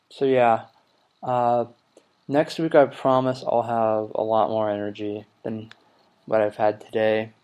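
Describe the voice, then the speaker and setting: A young man in his twenties hosting a casual solo podcast, rambling with lots of ums and low energy while getting over a cold. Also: a little mellow. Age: 20s. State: recovering from a cold. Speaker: podcast host.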